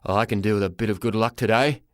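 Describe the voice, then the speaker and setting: A man's voice: on the low side, fairly even intonation, fast talker, no room sound, close to an everyday voice. Mild, sheepish dad for a children's story, read by a man: everyday voice, slightly anxious, dry studio sound. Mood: hopeful. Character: sheepish, anxious middle-aged dad with a hopeful grin.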